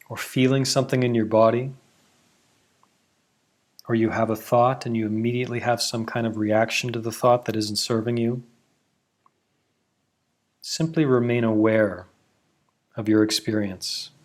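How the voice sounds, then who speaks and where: A man leading a guided meditation, giving slow, soothing instructions with long pauses. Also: mid-range voice, steady tone. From Canada.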